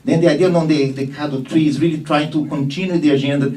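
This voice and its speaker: A man giving a formal technical presentation at a conference meeting, speaking in a measured, halting way. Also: a little high, steady tone, dark tone. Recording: distant room microphone.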